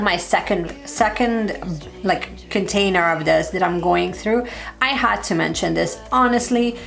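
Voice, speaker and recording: Chatty, slightly hoarse woman vlogger. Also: mid-range voice. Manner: chatty, upbeat, heavy use of "like". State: slightly hoarse, losing her voice. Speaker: beauty and lifestyle vlogger. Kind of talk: casual product review.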